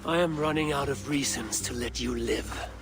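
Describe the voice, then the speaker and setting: A man voicing a stern, confident Viking warrior in a video game, with a terse, measured and commanding delivery. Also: medium pitch, music in the background, lightly acted. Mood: cold, threatening. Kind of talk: video game cutscene acting.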